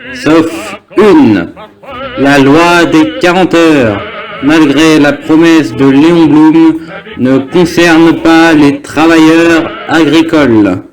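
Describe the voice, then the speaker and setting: A man's voice: fairly high pitch, poor audio. A young man reads a scripted newsreel narration in a formal manner. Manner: formal, reading aloud. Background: music.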